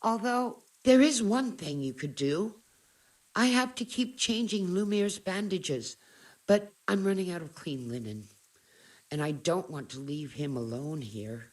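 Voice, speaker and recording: An actress voicing a medieval peasant wife in a video game, earthy and emphatic, bitter and grateful by turns. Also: female voice, lowish voice, lively intonation, unhurried. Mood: grateful, worried.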